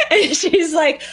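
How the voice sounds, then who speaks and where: A woman's voice: very high-pitched, a bit bright. A woman hosting a podcast in a casual, conversational, enthusiastic and affirming way. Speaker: podcast host.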